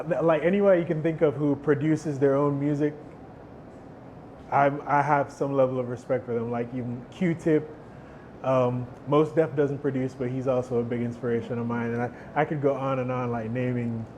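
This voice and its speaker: A young man, a musician, giving relaxed, thoughtful interview answers and often restarting his words. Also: mid-range voice, lo-fi sound, slight background noise. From Jamaica. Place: outdoor stage.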